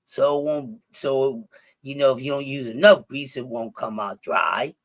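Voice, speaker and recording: Philly guy on a stream, swears a lot, rambles and restarts, mid pitch. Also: measured pace. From Philadelphia. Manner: profane, rambling, with many restarts. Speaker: stream guest. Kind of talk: casual chat.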